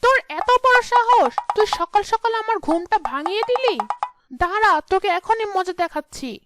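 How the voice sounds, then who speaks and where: A woman voicing a fierce, bossy witch in a young wife's body, with a scolding, imperious manner, in an animated fairy tale. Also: extremely high pitch, moderate pace, very noisy. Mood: angry, threatening.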